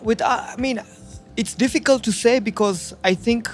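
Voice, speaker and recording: A man's voice: extremely high pitch, moderate pace, faint music behind. A man from Nairobi with a Kenyan accent, chatting as an interview guest in a laid-back, reflective, slangy way. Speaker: guest, street artist. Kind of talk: casual reflective chat.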